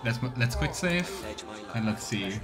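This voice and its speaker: A man streaming a video game with casual commentary and role-play asides, thinking out loud. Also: mid-range voice, lively intonation, measured pace, music in the background.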